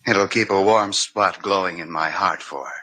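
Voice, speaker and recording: A man's voice: low voice, normal speed, sharp tone. A man acting a flippant, cynical drifter artist with sardonic, languid delivery in a 1950s radio drama.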